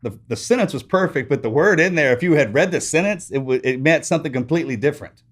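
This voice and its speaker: A man's voice: fairly high pitch, fast talker. A man with a Texas accent hosting a podcast, talking in a rambling, casual way and often restarting his sentences. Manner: gets louder and stronger in accent when excited. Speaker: podcast host. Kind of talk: casual interview chat.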